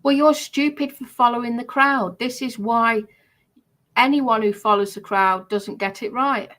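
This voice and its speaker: A woman's voice: fairly high pitch, a little slow, fairly bright tone. A woman in casual, argumentative livestream chat, blunt, defensive and emphatic in the way she talks.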